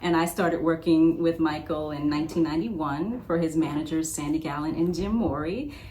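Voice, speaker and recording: A woman's voice: deep voice, normal speed, warm tone, reverberant. A woman hosting a casual interview, reminiscing with enthusiastic, gushing delivery. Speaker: interviewer and host. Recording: clearly noisy recording.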